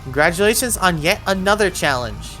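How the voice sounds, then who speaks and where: A woman narrates a video game guide with a lively, swinging pitch. She talks the player through each move in clear instructional steps, adding cautions as she goes. Music plays behind her voice. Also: fairly quick, low-quality recording. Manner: addresses the player with step-by-step cautions. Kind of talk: voiced walkthrough commentary, instructional.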